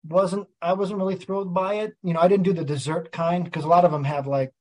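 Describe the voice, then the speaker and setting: A man's voice: high-pitched, fairly even intonation, brisk, darkish tone. A man co-hosting a podcast, telling a travel story casually and leaning heavily on "like" and "you know.". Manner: loose, heavy on "like" and "you know". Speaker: podcast co-host. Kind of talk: casual chat.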